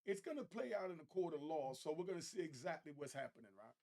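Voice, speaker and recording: A man giving casual reaction commentary as a channel host, speaking colloquially in halting, emphatic, incredulous phrases. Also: fairly high pitch, sing-song, darkish tone.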